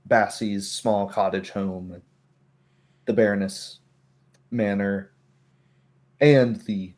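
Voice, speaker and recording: Man, game master, low voice, tabletop game narration. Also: darkish tone.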